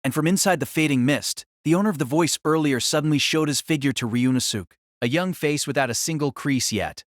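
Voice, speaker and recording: A man's voice: fairly high pitch, a little fast, hardly any pauses, dry recording, clean studio sound. A man narrating a scripted story in a dramatic audiobook style.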